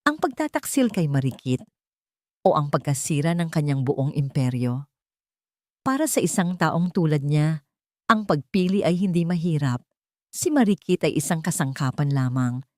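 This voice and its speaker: A woman's voice: deep voice, big pitch swings, normal speed, a little mellow, no echo, studio quality. A woman storyteller reading a scripted dramatic story.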